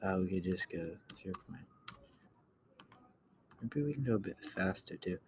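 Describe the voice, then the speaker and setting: A man giving a casual software tutorial, thinking aloud in a halting way and often trailing off. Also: low voice, animated. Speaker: tutorial host. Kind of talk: casual screen-recorded coding tutorial. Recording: clear background noise, keyboard clicks.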